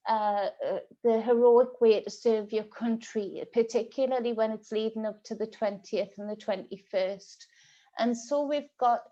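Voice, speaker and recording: A woman giving an unscripted tarot reading as a spiritual guide, speaking haltingly with frequent pauses mid-sentence. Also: medium pitch, gravelly, warm tone, highs cut off.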